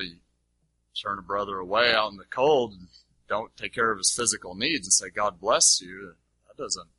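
A man's voice: on the low side, sharp tone, breathy edge, no room sound, poor audio. A man giving unscripted teaching as he answers audience questions, explaining emphatically and checking in with his listeners.

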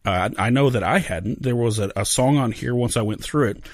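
A man's voice: medium pitch, a little fast, no room sound, studio quality. A man co-hosting a music podcast, enthusiastic and prone to repeating words as he starts sentences. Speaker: podcast co-host. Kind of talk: casual chat.

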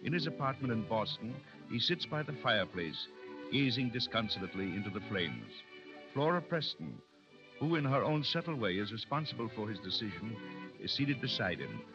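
A man's voice: medium pitch, big pitch swings, fairly quick. A man narrating a scripted 1930s radio drama as its announcer. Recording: dull vintage 1930s radio recording. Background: music.

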